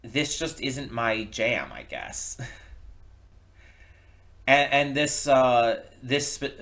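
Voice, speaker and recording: A man giving casual, unscripted reaction commentary, thinking aloud in a reflective, halting way and often repeating words. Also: medium pitch, a bit bright. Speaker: reaction video host. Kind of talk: casual unscripted commentary, post-viewing thoughts.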